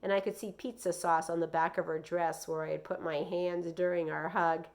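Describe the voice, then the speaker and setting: A woman's voice: on the low side, level tone, moderate pace. A woman reading a children's novel aloud as narrator, with brief explanatory asides.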